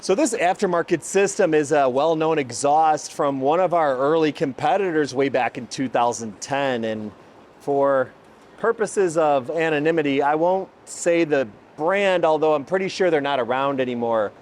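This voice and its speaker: A man's voice: a little high, normal speed, darkish tone, a little hiss or hum. A man hosting an automotive video, presenting and explaining in an emphatic, enthusiastic way. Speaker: host, exhaust shop builder. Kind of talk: presenting car exhaust comparison, part scripted, part explanatory.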